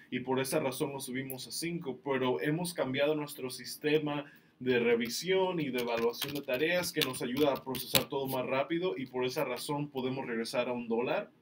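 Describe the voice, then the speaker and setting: A man from Mexico hosting a live-streamed question-and-answer session, explaining things in a relaxed way with many fillers. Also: mid-range voice. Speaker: company staff host answering questions.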